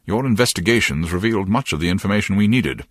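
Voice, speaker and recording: Calm spy agency superior, low pitch, husky, measured, evasive, video game dialogue. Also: male voice, dry recording, clean studio sound. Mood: matter-of-fact. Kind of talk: scripted video game voice acting.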